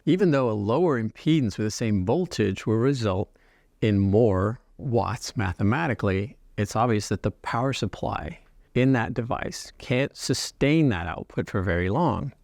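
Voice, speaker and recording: A man giving an explanatory talk as a company founder and designer, speaking in measured, deliberate phrases with pauses. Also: on the low side, expressive, creaky, darkish tone, no echo, clean studio sound. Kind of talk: explanatory product talk to camera.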